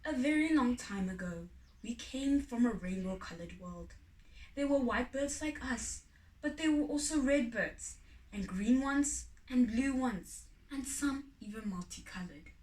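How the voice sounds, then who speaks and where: A woman narrating a children's folk tale expressively, with deliberate, dramatic phrasing and pauses. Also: fairly high pitch, animated, a little mellow. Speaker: storybook reader. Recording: echoey room, distant microphone.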